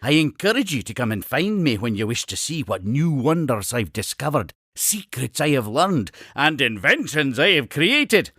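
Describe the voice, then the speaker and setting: A man's voice: a little high, a bit bright, dry recording, studio quality, exaggerated voice. A man voicing an exuberant, eccentric Scottish explorer-inventor creature in a cartoon game, grandiose and effusive with old-fashioned phrasing. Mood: eager, boastful. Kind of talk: theatrical cartoon game character dialogue.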